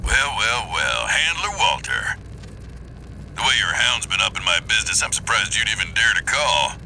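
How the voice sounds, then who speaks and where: An actor playing a brash, foul-mouthed mech squad commander in a video game, barking and mocking. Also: male voice, fairly low pitch, lively intonation, moderate pace, vocal fry, noisy background. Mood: sardonic. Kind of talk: video game voice acting, military briefing. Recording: game music behind. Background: music.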